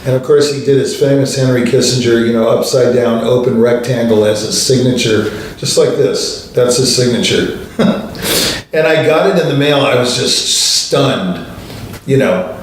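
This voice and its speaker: An older man, a pianist hosting a show, telling anecdotes in a relaxed, conversational way with many fillers. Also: mid-range voice, moderate pace, slight background noise. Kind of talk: storytelling monologue. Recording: distant mic in a very echoey room.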